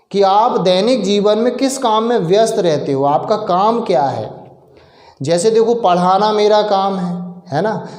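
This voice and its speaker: A man from India teaching English in Hindi, explaining step by step, asking and answering his own questions, and drawing out example sentences slowly. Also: sits high, a little mellow, reverberant. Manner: slow, drawn-out example sentences.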